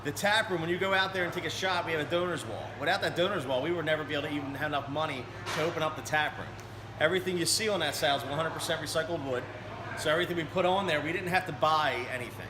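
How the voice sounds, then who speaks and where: A man with a slightly high voice tells his story in a loose, chatty way, doubling back on his words as he goes. He sounds as if he is in a brewery taproom. The room echoes, and a steady noise sits behind him. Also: very fast. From Berlin. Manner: conversational storytelling with restarts. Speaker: brewery co-owner. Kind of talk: casual interview.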